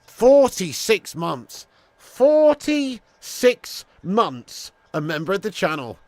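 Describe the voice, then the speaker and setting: Young English male streamer, casual and sweary, a touch high-pitched, no room sound. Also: sing-song, slow pace, no echo. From England. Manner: chatty, swears casually, greets viewers by name. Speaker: host. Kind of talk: live football watch-along chat.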